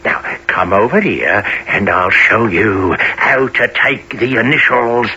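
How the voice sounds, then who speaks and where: Wily old pickpocket master, theatrical and wheedling, slow delivery, slightly muffled recording. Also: male voice, lowish voice, expressive, sharp tone, breathy edge, heavily acted. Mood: gleeful. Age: older. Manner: wheedling, theatrical, full of chuckles. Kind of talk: radio play acting.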